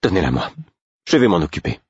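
A man's voice: deep voice, very animated, fast, breathy edge, no echo, highs cut off, heavily acted. A man voicing an exhausted, exasperated young mother in an audiobook, snapping and complaining with angry defiance. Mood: curt. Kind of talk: audiobook character acting.

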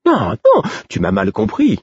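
A man's voice: fairly low pitch, wildly swooping pitch, unhurried, husky, dry recording, muffled recording, voice pushed up, heavily acted. A man voicing a flamboyant, mocking court jester who speaks in riddles. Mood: urgent. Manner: mocking, theatrical.

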